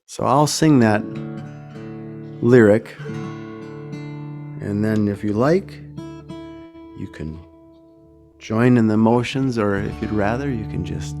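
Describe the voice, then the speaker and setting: A man speaking as a guest songwriter, casually and reflectively introducing a song in a gentle, self-effacing, unhurried way. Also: fairly low pitch, over music. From United States.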